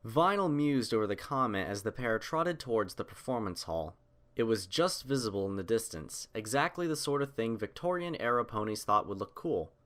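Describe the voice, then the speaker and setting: A man's voice: on the low side, animated, moderate pace, a bit bright. A man reading scripted fiction aloud as the narrator of a story.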